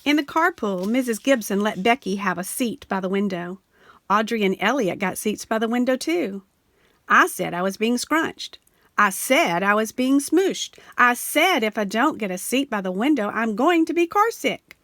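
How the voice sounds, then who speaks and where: A woman reading a children's picture book aloud in an animated storytelling way, slowing down and sinking low on the repeated refrain. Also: no room sound, studio quality. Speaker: storybook reader.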